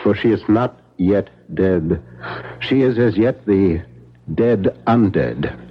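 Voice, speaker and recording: A solemn vampire-hunting professor for old radio drama. His low voice is husky and deliberate, with weighty pauses and insistent repetition. The sound is slightly muffled. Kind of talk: 1970s radio drama acting. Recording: muffled 1970s radio broadcast.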